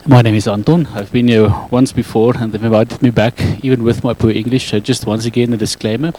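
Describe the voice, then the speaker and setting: A man's voice: medium pitch, fairly even intonation, mellow, a little hiss or hum, rough recording. Afrikaans-accented man giving a talk. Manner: halting, self-correcting non-native English with frequent ums. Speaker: speaker at an evening talk. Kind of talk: public talk to a live audience. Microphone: handheld mic.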